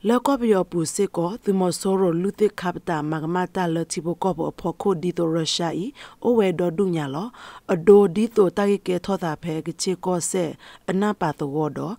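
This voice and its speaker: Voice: mid-range voice, normal speed, vocal fry, mellow, no room sound. A radio presenter reading scripted news.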